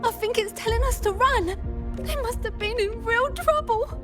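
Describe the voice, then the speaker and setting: A woman's voice: very high voice, moderate pace, music in the background. A woman voicing a small, anxious young female companion in a video game, speaking in an earnest, worried way.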